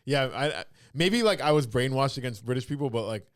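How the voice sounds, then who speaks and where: Fast-talking joking man. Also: mid-range voice, expressive, no echo, clean studio sound. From Canada. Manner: quick, joking, slips into impressions. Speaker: podcast host. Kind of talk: casual chat.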